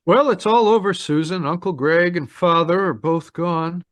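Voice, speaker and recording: A man's voice: sits high, moderate pace, a bit bright, a little hiss or hum. A man acting a grieving, resigned young nephew in an old-time radio drama. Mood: sad, resigned.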